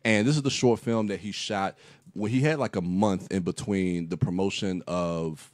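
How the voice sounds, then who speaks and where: Low-voiced man rambling through a film review. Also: moderate pace, a little mellow, no room sound. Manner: rambling, lots of fillers and restarts. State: getting over a cold, slight cough. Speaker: podcast co-host. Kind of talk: casual film review chat.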